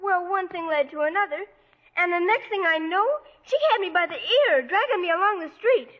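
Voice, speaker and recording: A woman's voice: very high voice, animated, a little fast. A young actress playing a cheerful, plucky, chatty little girl in a radio drama. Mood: earnest. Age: child performer. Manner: earnest, chatty, bossy little helper. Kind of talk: 1940s radio drama acting. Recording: muffled vintage radio broadcast.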